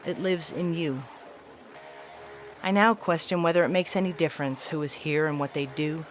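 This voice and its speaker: A woman voicing a haughty, weary feline alien ambassador in a science fiction audio story, speaking in a formal, measured, articulate way. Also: low voice, slight background noise, close to an everyday voice. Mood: grave disappointment. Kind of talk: dramatic reading of an epistolary science fiction story.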